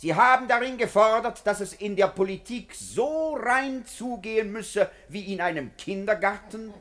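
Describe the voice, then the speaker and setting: An actor playing a scornful, sardonic politician declaiming in parliament in a 1950s German-language radio play, rhetorical and mocking. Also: male voice, high-pitched, animated, moderate pace, fairly bright tone. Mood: sardonic, lecturing. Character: scornful, sardonic parliamentary orator attacking a minister.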